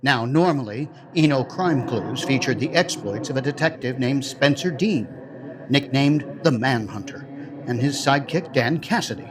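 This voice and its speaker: A man's voice: mid-range voice, animated, moderate pace, crowd noise. A man hosting an audio drama, reading a scripted introduction in a conversational way with playful asides. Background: music.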